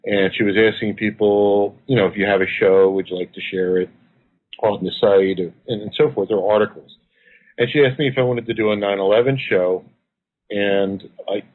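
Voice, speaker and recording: A man's voice: deep voice, husky. A man being interviewed as a guest over the phone, explaining at length in an earnest way. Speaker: guest, activist and researcher. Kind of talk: interview answers. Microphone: phone line. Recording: tinny phone line.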